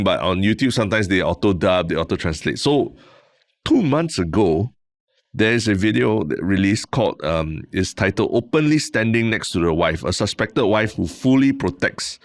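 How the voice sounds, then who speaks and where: A young man with a Singaporean accent co-hosts a casual podcast, winding through a story with restarts and a sprinkling of "lah." His voice sits very low. The recording is dry and close, with no room sound around it. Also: no room sound. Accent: Singaporean English. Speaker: podcast co-host. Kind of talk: casual chat.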